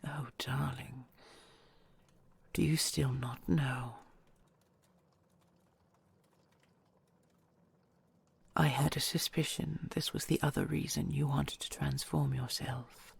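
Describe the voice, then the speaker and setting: A woman playing an ancient dragon queen, a tender and possessive lover, in an intimate romantic audio roleplay, whispery and hushed with formal archaic phrasing. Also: rumbling low, steady tone, unhurried, heavily acted. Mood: gentle, knowing. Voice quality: breathy, whispery.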